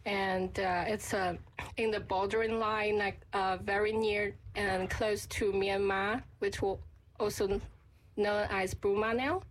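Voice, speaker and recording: A woman from southwest China, a native Chinese speaker, answering interview questions in a halting way and repeating words. Her pitch sits in the middle, with a faint hiss of noise behind her in the studio. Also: steady tone. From Yunnan, China. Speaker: guest. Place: radio studio.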